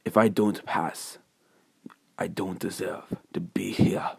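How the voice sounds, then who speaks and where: A man's voice: low voice, fairly even intonation, takes it slowly, vocal fry, a little mellow, slightly rough, dry recording, exaggerated voice. A man voicing a proud, honor-bound young male warrior in a story, solemn, earnest and subdued. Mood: solemn resolve.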